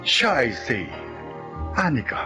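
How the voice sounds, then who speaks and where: A solemn, moralizing storyteller voiced by a man, his delivery lively in pitch. Clear background music runs under the narration. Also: slow pace. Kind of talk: scripted narration.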